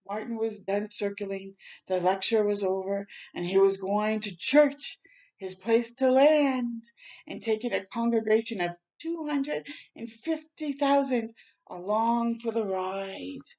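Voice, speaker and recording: A woman reads a story aloud to children in a medium-pitched voice. Her delivery is dramatic and heartfelt, leaning with emphasis on key phrases as a storytime host would. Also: unhurried, warm tone. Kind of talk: expressive picture-book read-aloud.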